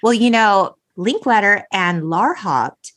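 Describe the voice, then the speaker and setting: A woman hosting a podcast in a conversational but articulate style, expressive and engaged, moving between recap and chat. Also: mid-range voice, slow pace. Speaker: podcast host. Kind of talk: conversational hosting, part scripted film recap.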